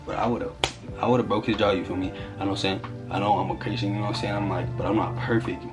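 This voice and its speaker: Low, flat-toned young man talking fast. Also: over music. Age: young adult. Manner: slangy, conversational. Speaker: YouTuber telling a story to camera. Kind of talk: casual storytime vlog.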